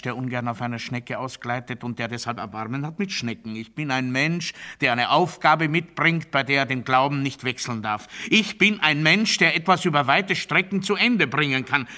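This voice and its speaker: An actor playing a pompous, paranoid new ruler in a radio play monologue, declaiming to his people in a sharp, forceful, theatrical voice that moves between proclamation and confiding. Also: medium pitch, close to an everyday voice. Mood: grandly proclaiming. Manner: declamatory oratory, swinging from loud proclamation to hushed confiding.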